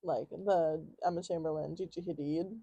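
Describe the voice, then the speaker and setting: A young woman co-hosting a podcast, chatting casually with a friend, slang-heavy and quick to laugh. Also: low voice, steady tone, breathy edge, no echo, muffled recording. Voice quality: loud projecting voice. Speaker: podcast co-host. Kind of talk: casual chat.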